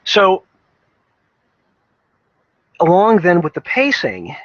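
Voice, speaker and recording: A man giving casual, opinionated commentary on video games, speaking emphatically in a halting way, restarting and repeating phrases as he thinks. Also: high voice, wildly swooping pitch, a bit bright, no echo, highs cut off.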